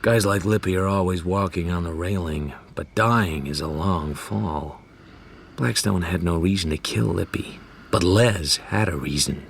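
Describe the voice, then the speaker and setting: An actor playing a wisecracking, world-weary private detective, dry and laconic, in hardboiled radio drama style. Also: male voice, deep voice, unhurried, vocal fry, a little hiss or hum. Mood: brooding. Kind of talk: hardboiled first-person radio drama narration and dialogue.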